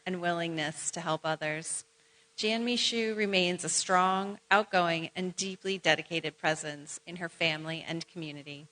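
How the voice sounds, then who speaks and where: A woman presenting at an awards ceremony, formally reading out short biographies in a measured, ceremonial way. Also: fairly low pitch, pushed and bright, poor audio. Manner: measured, ceremonial delivery with brief asides to honorees. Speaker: event presenter.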